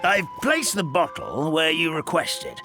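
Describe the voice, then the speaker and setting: A loyal old servant with a fairly high voice that swoops far up and down as he speaks. His manner is courtly and deferential, and game music plays clearly behind him. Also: big pitch swings, measured pace, heavily acted. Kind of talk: video game cutscene dubbing.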